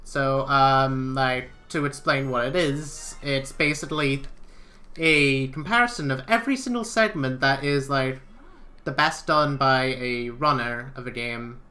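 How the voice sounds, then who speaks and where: A man's voice: medium pitch, measured pace, bright, forceful tone. A man from Wales whose first language is Welsh, chatting and joking casually while playing a game live. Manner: chatty, joking, self-deprecating. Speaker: speedrunner.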